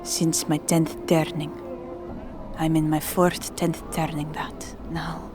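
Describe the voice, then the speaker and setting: A woman's voice: deep voice, flat delivery, slow pace, music in the background. A woman playing a frightened, half-mad middle-aged woman in an audio drama, with a Spanish accent and fragmented speech that slips into Spanish. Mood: haunted, dazed.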